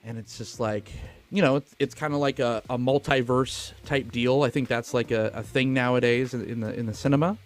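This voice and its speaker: A man's voice: medium pitch, fairly even intonation, fairly quick. Male podcast host, mid-range voice, casual and sweary movie talk, music underneath. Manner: swears freely, crude joking. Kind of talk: casual film chat.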